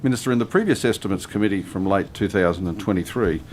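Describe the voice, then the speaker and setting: Australian man, deep voice, committee questioner, pressing, stumbles into questions. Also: fast talker, darkish tone, slight background noise. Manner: pressing, repeats words as he starts. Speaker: committee member questioning a minister. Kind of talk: parliamentary questioning. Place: parliamentary committee room.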